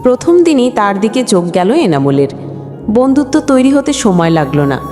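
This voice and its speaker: A woman's voice: medium pitch, big pitch swings, moderate pace, mellow. A woman reading a scripted emotional story as a storyteller, in a dramatic, sentimental delivery. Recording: long hall reverb, music bed. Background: background music.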